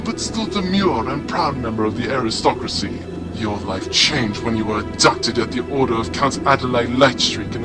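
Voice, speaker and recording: A man's voice: medium pitch, natural voice. A man playing a mysterious, scheming villain with grandiose, theatrical delivery in a comic sci-fi audio drama. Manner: portentous, theatrical. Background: prominent music.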